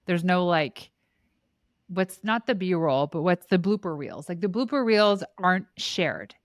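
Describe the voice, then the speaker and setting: A woman's voice: fairly low pitch, lively intonation, unhurried, dry recording, studio quality. Affirming woman hosting a podcast. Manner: affirming, sums up the guest's points. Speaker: podcast host. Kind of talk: casual interview chat.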